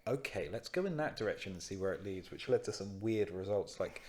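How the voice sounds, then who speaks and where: A man with a fairly low voice, talking in an easy, chatty way on a podcast. He laughs often and pokes fun at himself. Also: animated, brisk, a little mellow. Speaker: podcast co-host, musician. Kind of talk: casual chat.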